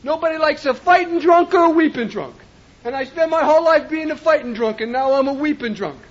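Impassioned high-voiced man, lo-fi tape. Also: a little fast. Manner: impassioned, often shouting, rhythmic repetition. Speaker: recovery meeting speaker. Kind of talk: personal testimony speech. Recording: dull lo-fi recording.

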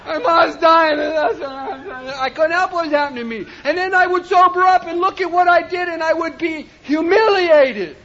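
A man giving a personal testimony at a recovery meeting, impassioned and often shouting, with rhythmic repetition. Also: extremely high pitch, normal speed, few pauses. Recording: dull lo-fi recording.

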